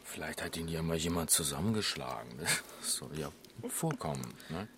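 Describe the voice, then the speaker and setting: An actor playing a sardonic, hard-drinking husband in a radio drama, talking in a mocking, wry way. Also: male voice, rumbling low, expressive, normal speed, background noise. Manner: mocking, wry, drawn to dry remarks. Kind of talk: naturalistic German radio drama acting.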